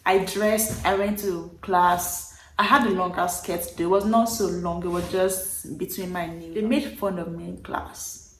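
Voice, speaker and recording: A young woman in her twenties from Nigeria, speaking with a Nigerian accent, casually telling a personal story to camera in an animated, emphatic way and repeating words for effect. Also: on the low side, measured pace, slight background noise. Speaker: vlogger. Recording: roomy echo.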